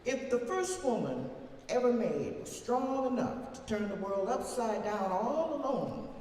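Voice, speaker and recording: Woman, theatrical reciter of a defiant old-time oration, slow and sweeping in pitch, distant in a boomy hall. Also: animated, dark tone. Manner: emphatic, theatrical, with long deliberate pauses. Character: formerly enslaved older woman orator, plain-spoken, defiant, wry. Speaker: reader performing a historical speech. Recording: very echoey hall from far off, faint noise.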